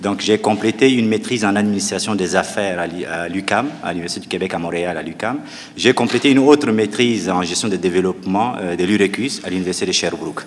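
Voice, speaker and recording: Low-voiced man from Chad, hall echo. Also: fast talker. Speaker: municipal candidate. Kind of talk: debate opening statement. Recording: reverberant hall with faint noise.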